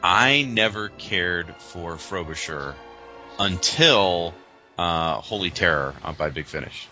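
American male co-host, fairly low pitch, very bright forceful edge, casual chat. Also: expressive, takes it slowly, soft background music, low-quality recording. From United States. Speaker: podcast co-host.

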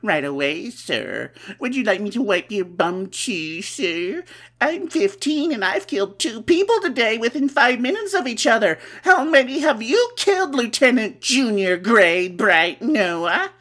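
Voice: medium pitch, big pitch swings, unhurried, a bit bright, pitched above the performer's own voice, exaggerated voice. A podcaster putting on a snooty, nasal teenage boy pilot. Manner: sneering, sarcastic. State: stuffy nose.

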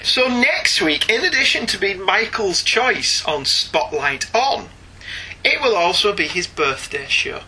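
British male podcast host, wry and mock-exasperated, piercingly bright, faint noise and clicks behind. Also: high-pitched, big pitch swings, measured pace, poor audio. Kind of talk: casual comic book podcast chat.

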